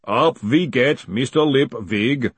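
Storybook giant golem: booming, slow and deliberate, cheerfully formal and relentless, in a dry close recording. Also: male voice, breathy edge, dry recording. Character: huge cheerful booming male golem parole officer.

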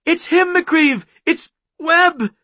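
A man's voice: a little high, expressive, unhurried, husky, no room sound, voice pushed up. A man voicing a brave, emotional young woman, a daughter in an audiobook. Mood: urgent.

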